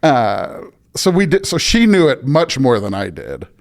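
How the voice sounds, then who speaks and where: A man's voice: mid-range voice, wildly swooping pitch, a little slow, gravelly. A middle-aged American man chatting casually and telling anecdotes as an interview guest on a podcast.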